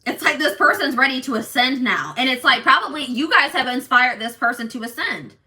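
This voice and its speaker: A woman doing a casual tarot reading for her viewers, talking in an assertive, loud way with lots of restarts and "like". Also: sits high, fairly quick. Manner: animated, many restarts and fillers. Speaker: tarot reader.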